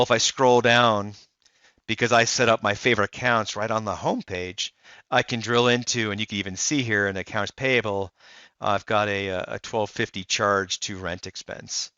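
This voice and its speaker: A man walking through a software demo in a relaxed, explanatory way, with frequent "uh" fillers. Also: fairly low pitch, clear, resonant tone, no echo. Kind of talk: screen-recorded software walkthrough. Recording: dull dry voice-over.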